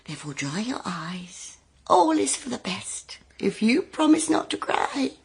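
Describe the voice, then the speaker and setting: A woman's voice: on the high side, very animated, a little slow, vocal fry, heavily acted. An actress playing a scheming middle-aged mother in a radio drama, coaxing and insistent in a theatrical way. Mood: brisk excitement.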